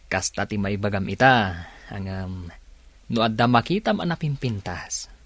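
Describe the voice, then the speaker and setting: A man's voice: lowish voice, lively intonation, takes it slowly, a bit bright, no room sound, exaggerated voice. A man playing a chummy young co-worker in a radio drama, casual and bantering. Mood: teasing.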